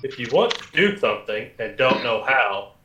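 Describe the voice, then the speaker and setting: An actor playing a calm, precise, literal talking computer in a radio play, speaking in measured formal announcements. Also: male voice, medium pitch. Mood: impersonal, matter-of-fact. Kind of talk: 1950s radio play acting. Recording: roomy echo with faint music behind.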